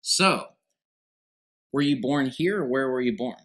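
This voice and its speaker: Bright-voiced energetic podcast host man. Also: mid-range voice, unhurried. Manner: energetic, emphatic, addresses listeners directly. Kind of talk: podcast interview.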